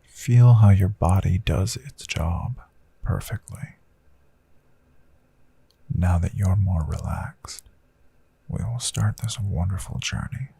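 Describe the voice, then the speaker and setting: A gentle male hypnotist, acted by a man, murmurs a guided meditation in a very husky, breathy voice full of gravelly fry. He is soothing and deliberate, leaving long pauses, with a crisp bright edge to the hush. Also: deep voice, dry recording, exaggerated voice. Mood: hushed, intimate. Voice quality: breathy, often slipping into whisper. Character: gentle male hypnotist Count, calm and reassuring. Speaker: hypnotist, audio host. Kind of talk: slow hushed guided hypnosis meditation, ASMR.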